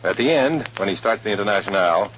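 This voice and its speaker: An actor playing a cool, scheming undercover agent in a 1950s radio drama, speaking in a clipped, smooth, purposeful way. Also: male voice, lowish voice, expressive, fast talker, slight background noise. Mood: brisk, wry. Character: cool, scheming male undercover agent posing as communist organizer. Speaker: lead actor and first-person narrator. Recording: dull old radio recording, loud music behind.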